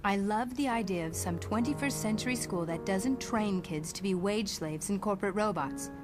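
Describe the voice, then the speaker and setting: A protective mom voiced by an actress in a motion comic, mid-pitched and firm. Her delivery is earnest and no-nonsense, with music playing behind. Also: normal speed, close to an everyday voice. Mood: worried, firm. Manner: earnest, firm, sometimes sarcastic. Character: protective, no-nonsense mother of a teenage girl. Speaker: voice actor.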